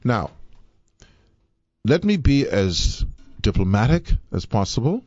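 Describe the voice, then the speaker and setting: A man's voice: medium pitch, sing-song, slow pace, a little mellow, muffled recording. A man from the Bahamas hosting a live radio talk show, emphatic and playful.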